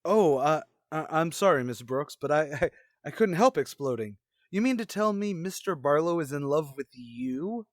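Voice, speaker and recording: A man's voice: on the high side, animated, normal speed, no room sound, clean studio sound, close to an everyday voice. Earnest, oblivious radio comedy schoolteacher played by a man. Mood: flustered. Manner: earnest, flustered, literal-minded. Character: earnest, naive, oblivious male biology teacher. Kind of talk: old-time radio situation comedy acting.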